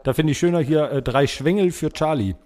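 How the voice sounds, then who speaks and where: A man's voice: on the high side, a little fast, darkish tone. A man co-hosting a podcast, chatting casually and jokingly. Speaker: podcast co-host.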